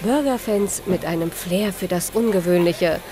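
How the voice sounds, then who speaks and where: A woman with a Swiss German accent narrating a TV report in a crisp, scripted voice-over. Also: fairly low pitch, normal speed. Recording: voice-over with music behind.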